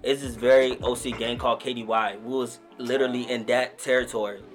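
A man's voice: mid-range voice, fairly quick, faint music behind. Laid-back young man telling stories. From Nigeria. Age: 20s. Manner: storytelling, relaxed slangy talk. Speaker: guest rapper. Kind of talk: casual podcast interview.

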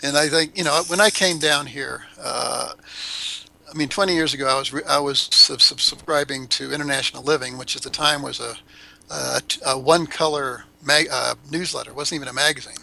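A man's voice: mid-range voice, fairly even intonation, fairly quick, vocal fry, bright, forceful tone, rough recording. An older man, a podcast guest, chatting casually and bluntly, rambling with restarts and the odd swear. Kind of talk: casual interview chat. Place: Ecuador.

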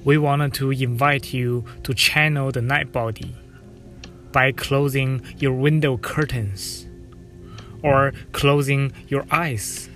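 Very slow, gentle man from China. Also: medium pitch, fairly even intonation, soft background music. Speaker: dance artist. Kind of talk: guided narration.

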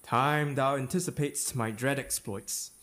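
A man's voice: mid-range voice, fairly even intonation, measured pace, natural voice. A man acting a Shakespearean king, ambitious, fearful and vengeful, in a theatrical, declamatory dramatic reading. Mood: grimly resolved. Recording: loud ominous music behind the voice. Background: ominous music.

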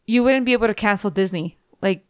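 A woman co-hosting a podcast in casual chat, blunt and quick to swear. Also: medium pitch, smooth. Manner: blunt, swears freely, rambling. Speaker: podcast co-host.

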